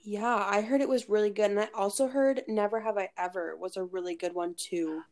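A woman's voice: mid-range voice, fairly even intonation, brisk, a little mellow. A woman co-hosting a podcast in bubbly, gushing casual chat, sometimes groping for words. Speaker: podcast co-host.

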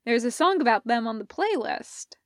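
A woman's voice: fairly high pitch, lively intonation, normal speed, no echo, studio quality. A woman voicing a quirky, eager, mischievously affectionate young woman in a story, with childlike delivery. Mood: excited. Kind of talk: storybook character voice.

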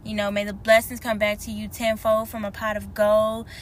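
A woman hosting her podcast in casual, slangy chat, a little hoarse from a cold. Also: medium pitch, moderate pace, slight background noise. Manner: animated, slangy and chatty. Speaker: podcast host. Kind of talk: casual spiritual podcast talk.

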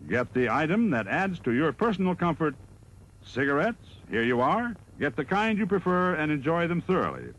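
A man with a husky voice reading a vintage snack bar advertisement. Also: on the high side, big pitch swings, normal speed, fairly bright tone, highs cut off, a little hiss or hum. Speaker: advertising announcer.

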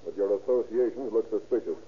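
An actor playing a shrewd, authoritative American police detective in an old radio crime drama, speaking in a clipped way. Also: male voice, fairly low pitch, quick pace, natural voice. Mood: pressing, stern. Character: shrewd, hard-boiled male police detective lieutenant. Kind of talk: 1930s-40s radio crime drama acting. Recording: tinny old radio recording.

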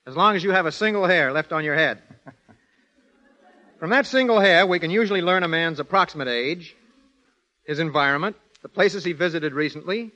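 A man's voice: on the high side, sharp tone. A man giving precise, scripted explanations as a guest expert in a formal 1930s radio interview. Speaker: guest criminologist. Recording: dull 1930s radio broadcast.

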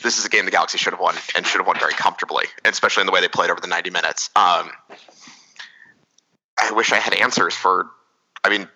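Male podcast host, very low pitch and sharp tone, chatty soccer breakdown. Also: fast talker, pauses often, poor audio. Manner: rambling, analytical, many restarts. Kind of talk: casual soccer analysis chat.

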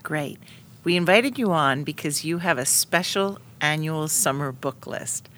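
Low-voiced woman hosting a podcast. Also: lively intonation, measured pace, a bit bright, a little hiss or hum. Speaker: podcast host. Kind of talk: scripted introductions and interview.

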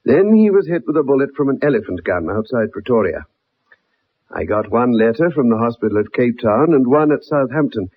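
Earnest, husky ex-soldier. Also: male voice, mid-range voice, sing-song, brisk. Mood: troubled, recounting. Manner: earnest, polite but insistent. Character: earnest, persistent young ex-soldier, troubled loyal friend. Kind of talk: 1960s radio drama acting, first-person narration. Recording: muffled old radio broadcast recording.

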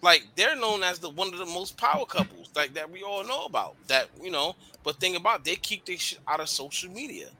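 Male podcast co-host, sharp bright voice, slightly high, loose slangy banter. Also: a little fast, few pauses, poor audio. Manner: animated, swearing, slangy. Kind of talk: casual chat.

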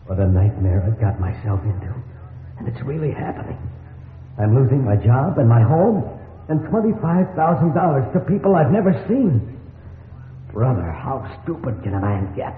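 A man's voice: mid-range voice, sing-song, moderate pace, natural voice. A thin, phone-like old radio recording with light noise carries an actor as a troubled working man. He is rueful and exclamatory, hesitating under strain, in the dramatic manner of 1950s radio. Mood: despairing. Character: distraught, self-blaming working family man and narrator.

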